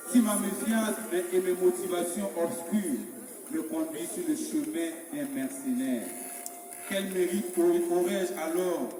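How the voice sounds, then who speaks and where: A man reciting devotional poetry in a solemn, measured, rhetorical way. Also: fairly high pitch, mellow. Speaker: poet reciting his own religious poem. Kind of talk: declaimed French spoken-word poetry with a sung opening hymn. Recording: very echoey hall, distant, music behind. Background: music.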